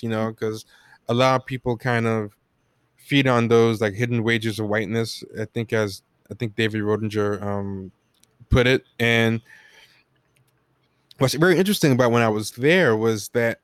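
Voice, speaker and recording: An American man speaks in a smooth, clear voice at a middle pitch, recorded dry and close. He talks as a podcast guest, casual and reflective, often halting as he works out his thought. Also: fairly bright tone, dry recording. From United States. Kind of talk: casual reflective interview talk.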